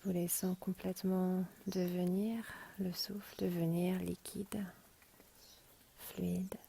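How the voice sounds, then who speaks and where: A woman guiding a relaxation meditation in a hushed, breathy, half-whispered, soothing voice, as a yoga nidra teacher. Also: lowish voice, flat delivery, takes it slowly, slight background noise. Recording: rain and birdsong behind the voice. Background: rain and birds.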